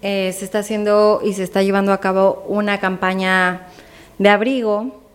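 A woman's voice: medium pitch, little pitch movement, moderate pace. A woman from Mexico with a Mexican accent, speaking conversationally as a guest in a radio interview. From Guanajuato, Mexico. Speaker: radio guest promoting a charity campaign.

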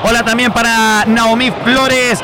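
Against loud traffic and street noise, a very high-pitched man hosts a football livestream. He reads out greetings to viewers by name and often repeats his phrases. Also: little pitch movement, unhurried, crowd noise. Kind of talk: live chat-reading sports commentary.

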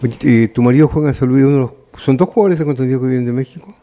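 A man's voice: medium pitch, very fast, warm tone. A man with a Chilean accent hosting a live local radio interview in a courteous, formal way. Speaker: radio host.

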